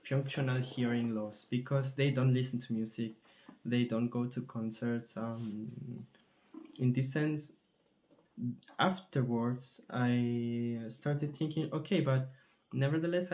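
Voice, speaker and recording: A man from Chile, a composer answering interview questions thoughtfully, often pausing and searching for words. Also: mid-range voice, dark tone. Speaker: composer in residence.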